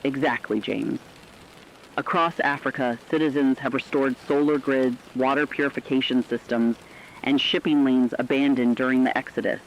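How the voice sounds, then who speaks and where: A man acts as an earnest, hopeful co-anchor in a radio drama about a rebuilding world. His medium-pitched voice reads scripted news formally. The sound is dull and lo-fi, like an old radio. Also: fairly even intonation, moderate pace, slight background noise, lightly acted. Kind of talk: scripted news broadcast narration.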